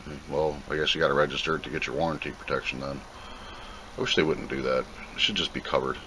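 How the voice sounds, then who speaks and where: Low-voiced man rambling casually. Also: fairly even intonation, fast talker, bright, forceful tone, low-quality recording. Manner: rambling, plain-spoken, thinking aloud. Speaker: video host reviewing a product. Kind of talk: casual unboxing commentary. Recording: clear background noise.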